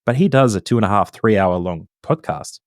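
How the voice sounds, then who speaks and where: A man from Australia with a mild Australian accent, chatting casually as a podcast guest, relaxed, with lots of ums and self-corrections. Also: fairly low pitch, fairly quick, no room sound, clean studio sound. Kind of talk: casual interview chat.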